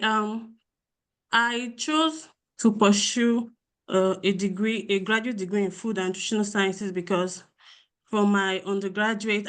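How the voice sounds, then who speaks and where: A woman's voice: mid-range voice, a bit bright. A young woman from Nigeria, a graduate student, introducing herself on a webinar panel with many ums and restarts.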